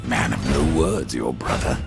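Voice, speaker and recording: A gravelly, bottomless-deep grim horseman of death. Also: a little slow, over loud music, full character voice. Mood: cool, dry. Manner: dry, terse, sardonic. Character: grim sardonic horseman of death, an immortal Nephilim. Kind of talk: video game cutscene acting. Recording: game score behind the voice.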